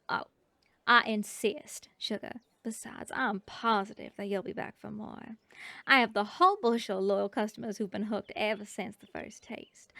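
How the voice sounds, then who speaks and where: A sweet, sweet-talking bee girl honey seller with a Southern drawl, as a woman acts her out. She speaks softly at a mid pitch, now and then dropping to a hush, close to the mic in a dry, dead room. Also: no echo, close to an everyday voice. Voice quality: drops to whispers at times. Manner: soft-spoken, sweet-talking, calls listener sugar. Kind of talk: ASMR roleplay.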